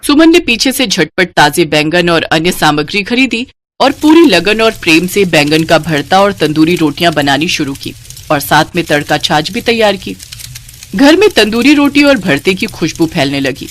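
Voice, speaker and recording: A woman's voice: fairly low pitch, sing-song, moderate pace, slight background noise. A woman reading a scripted family story as its narrator.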